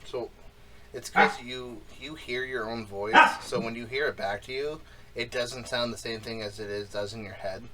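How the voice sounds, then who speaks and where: A man's voice: medium pitch, steady tone, moderate pace, sharp tone, low-quality recording. A young man co-hosting a casual podcast chat, rambling with lots of fillers and crude jokes. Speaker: podcast co-host.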